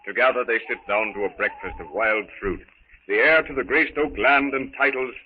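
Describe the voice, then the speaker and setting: A man's voice: medium pitch, huge pitch swings, normal speed. A man narrating a jungle adventure serial in an earnest, dramatic 1930s radio style on a muffled, hissy recording. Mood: earnest, suspenseful.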